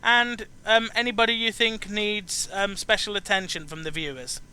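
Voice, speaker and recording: A man in his twenties with a British accent, hosting a casual online chat in a halting way, often repeating words. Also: high voice, steady tone, bright, forceful tone, a little hiss or hum, rough recording. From United Kingdom. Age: 20s. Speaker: podcast host.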